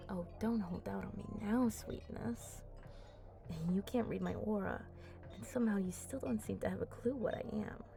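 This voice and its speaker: A woman voicing a flirty, teasing female vampire in an ASMR roleplay, with a breathy voice that often drops to a whisper. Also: mid-range voice, over music, lightly acted. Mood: amused probing. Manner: slow, teasing, coaxing.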